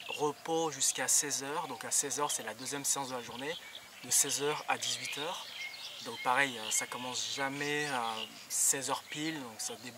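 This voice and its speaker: A young man explains things in a casual vlog style. His mid-pitched voice moves haltingly as he searches for words. He sounds some way from the microphone, with loud noise around him. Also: recorded from a distance. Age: young adult. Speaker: vlogger.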